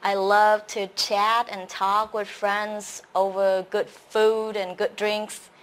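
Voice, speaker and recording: A woman's voice: medium pitch, level tone, slow. A young Vietnamese woman with a Vietnamese accent, hosting a live-streamed English lesson and chatting about herself with many filler sounds. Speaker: host.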